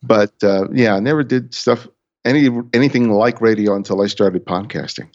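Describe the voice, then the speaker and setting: A man with a fairly low voice talks through a casual podcast conversation. He takes his time, choosing a slow and deliberate pace. His audio is dull and lo-fi, as if the top end were missing. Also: a little mellow.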